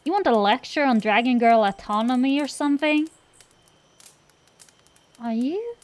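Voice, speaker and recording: A woman plays a tsundere dragon girl sorceress in an intimate fantasy audio roleplay. Her voice sits a little high and she takes her time over her words. She blusters and teases like a tomboy gambler, easily flustered, with fondness underneath. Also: lively intonation, measured pace. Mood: teasing, flustered.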